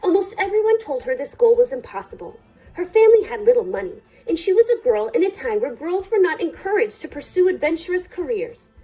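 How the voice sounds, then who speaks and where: Female storytime reader, high and very melodic, soft-toned, deliberate phrasing, faint music underneath. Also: very animated, low-quality recording. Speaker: librarian reading a picture book aloud. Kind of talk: children's storytime read-aloud.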